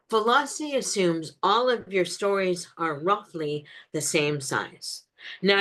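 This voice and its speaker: A woman's voice: medium pitch, a bit bright. A woman speaker answering audience questions in a webinar over a video call, direct, with frequent ums and restarts.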